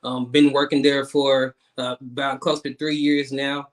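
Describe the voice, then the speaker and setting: A man, hosting an online talk show in a casual, halting way with many repeated words and fillers. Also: medium pitch, flat delivery. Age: 30s. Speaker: guest host.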